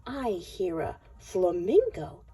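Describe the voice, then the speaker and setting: A woman reading a picture book to young children in an expressive, sing-song way, often dropping toward a whisper. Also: lowish voice, takes it slowly, husky.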